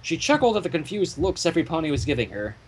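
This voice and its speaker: A man reading a story aloud dramatically, with expressive reactions and asides. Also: a little high, fast talker, husky, poor audio. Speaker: fan fiction reader.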